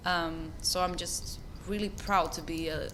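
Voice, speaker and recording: Earnest woman speaking, low rumble behind. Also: fairly even intonation, measured pace. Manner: earnest, emotional. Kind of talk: interview clip.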